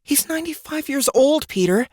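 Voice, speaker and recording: A woman's voice: high voice, normal speed, dry recording, studio quality. An actress playing a sly, upbeat wife and mother in a fantasy audiobook, with a playful delivery. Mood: concerned. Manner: playful, animated. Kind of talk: dramatic audiobook character dialogue.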